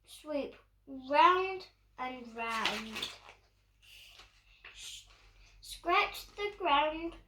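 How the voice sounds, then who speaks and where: A woman's voice: sits high, expressive, extremely slow, long pauses, sharp tone, slight background noise. A young girl with a British accent reading a picture book aloud in a playful storytime style. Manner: playful, acts out bird calls and actions. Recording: roomy echo, distant microphone.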